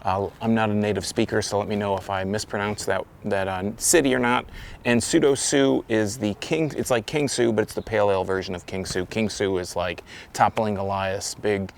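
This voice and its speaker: A man with a fairly low voice and a gravelly, fry-laden edge talks through a beer review outdoors. He rambles easily and keeps restarting his thoughts. A faint hiss of wind sits behind him. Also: steady tone, normal speed. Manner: rambling, self-correcting, loose. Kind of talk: casual beer review vlog. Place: outdoors, windy. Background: wind noise.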